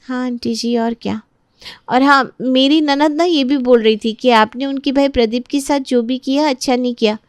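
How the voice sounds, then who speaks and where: High-voiced resentful young daughter-in-law, acted by a woman. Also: fairly even intonation, normal speed, clear, resonant tone, no room sound, studio quality, close to an everyday voice. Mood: gossiping, aggrieved. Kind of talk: dramatized Hindi family story acting.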